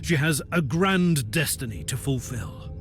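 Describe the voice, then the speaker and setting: An actor playing a zealous, imperious Inquisitor in a video game, dramatic and grave, with theatrical delivery. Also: male voice, medium pitch, lively intonation, unhurried, music in the background, lightly acted. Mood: solemn. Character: commanding, zealous male Imperial Inquisitor with psychic powers.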